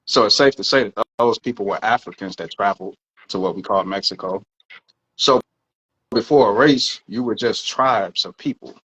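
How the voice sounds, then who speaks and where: A man's voice: on the low side, moderate pace, a bit bright, husky, dull audio. A man co-hosting a podcast, explaining his views earnestly in casual talk and swearing now and then. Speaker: podcast co-host.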